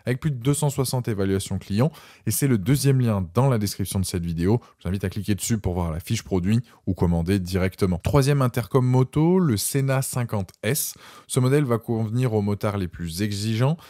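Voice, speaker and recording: A man's voice: fairly low pitch, a little fast, warm tone, no echo, studio quality. A man presenting a scripted product review voice-over, informally addressing viewers directly.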